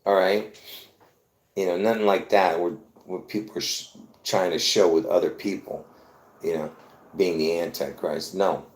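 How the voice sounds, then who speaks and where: A man's voice: on the low side, a little mellow. Rambling insistent man in an echoey room. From Lebanon. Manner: insistent, repetitive, with many restarts. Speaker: conspiracy video host. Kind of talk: rambling live monologue.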